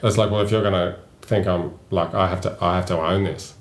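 An Australian man chats easily, spinning anecdotes in relaxed conversation. His voice is very deep, and the room around him rings with echo. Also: fairly quick. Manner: chatty, storytelling. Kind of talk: casual chat. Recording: roomy echo.

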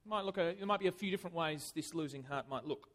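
A man preaching a conversational sermon in a church, chatty and self-deprecating, with lively swings of emphasis. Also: a little high, fast.

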